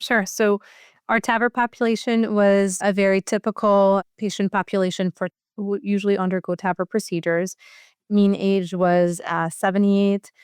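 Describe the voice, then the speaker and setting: A woman's voice: mid-range voice, level tone, normal speed, darkish tone, smooth, dry recording, studio quality. A woman speaking as a physician researcher and interview guest, explaining clinical details in a matter-of-fact, conversational way.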